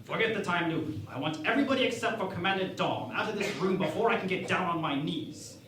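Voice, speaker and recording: A man's voice: high-pitched, expressive, fast, fairly bright tone, reverberant, a little hiss or hum. A man playing a petulant, lecherous starship captain in a parody sci-fi radio play, theatrical and childishly pleading. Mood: dramatic, eager.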